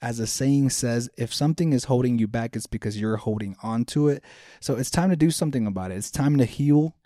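A man's voice: mid-range voice, a little fast, dark tone, no echo, studio quality. A man in his thirties hosting a self-help podcast, speaking earnestly and directly to his listeners, often repeating his points for emphasis. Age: 30s. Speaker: podcast host. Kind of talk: motivational self-help talk.

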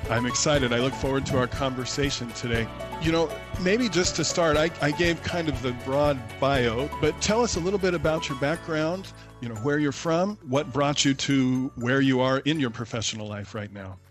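A man's voice: mid-range voice, normal speed, over music. A man hosting a podcast interview, speaking in a friendly, affirming way and summing up his guest's points. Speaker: podcast host.

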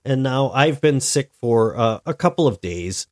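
A man's voice: medium pitch, expressive. Stuffy-sounding man hosting a podcast. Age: adult, married. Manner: deliberate, reflective, with frequent restarts. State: stuffy, sick with a cold-like illness. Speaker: podcast host. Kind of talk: solo podcast monologue.

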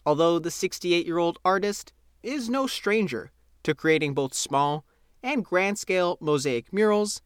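Lively male feature narrator, close and dry. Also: high-pitched, unhurried, smooth, dry recording. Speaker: reporter reading own news feature. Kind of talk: scripted narration of a feature article.

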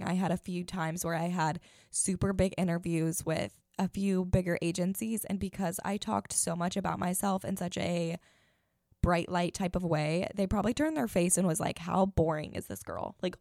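A young woman in her twenties with a raspy morning voice, giving blunt, chatty advice as a podcast host. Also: on the low side, normal speed, darkish tone, no room sound, clean studio sound. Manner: blunt, chatty, many fillers. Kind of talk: casual advice chat.

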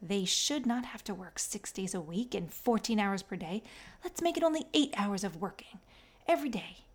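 A woman's voice: medium pitch, expressive, moderate pace, slightly rough. A woman voicing an earnest American machinist man who explains things like a tour guide. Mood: cheerful.

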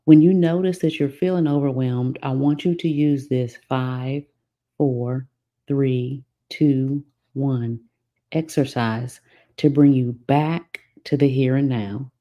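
A woman leading a guided meditation from a script, giving soothing, unhurried instructions with long pauses. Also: very deep voice, steady tone, mellow.

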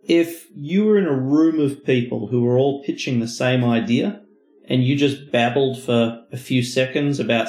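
A man's voice: medium pitch, darkish tone. A man co-hosting a podcast, explaining as an expert in an emphatic, conversational manner. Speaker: podcast co-host. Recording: roomy, echoing voice recording.

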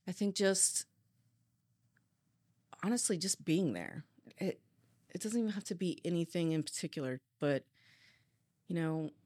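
A woman's voice: lowish voice, sing-song, darkish tone, no echo, studio quality. A woman who works as a police dispatcher, answering interview questions openly and reflectively, with frequent restarts. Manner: open, reflective, halting restarts.

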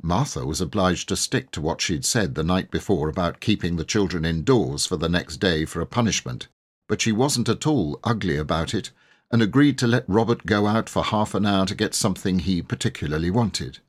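A man's voice: low voice, animated, a little fast. A man narrating a children's story from a script as an audiobook reader.

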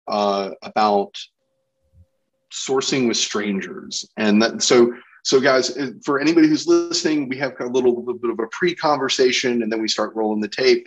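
A man's voice: medium pitch, low-quality recording. A man hosting a podcast interview in a casual, discursive and enthusiastic way.

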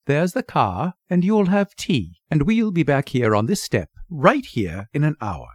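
A man's voice: fairly high pitch, huge pitch swings, measured pace, dry recording, studio quality, voice pushed up, exaggerated voice. A man voicing a timid, fluttery society mother who pleads, gushes and apologises in a storybook reading. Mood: anxious coaxing.

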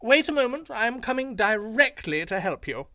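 A man voicing a sly, scheming male jackal who fakes concern in a high, fawning voice. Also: big pitch swings, normal speed, no room sound, clean studio sound, full character voice. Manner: high wheedling, mock-concerned shouts.

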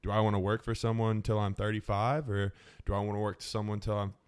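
A man's voice: on the low side, flat delivery, fast, smooth. A man in his mid-twenties hosting a podcast, giving earnest, upbeat advice in casual chat. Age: mid-20s. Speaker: podcast host.

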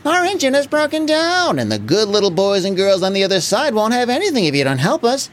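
A reader doing high pleading toy dolls. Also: huge pitch swings, a little fast, slight background noise.

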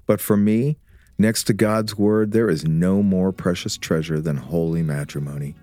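A man playing a warm, teasing, scholarly husband and father in a radio drama, with a measured, preacherly way of explaining. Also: deep voice, natural voice. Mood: earnest, instructive. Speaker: actor in an audio drama.

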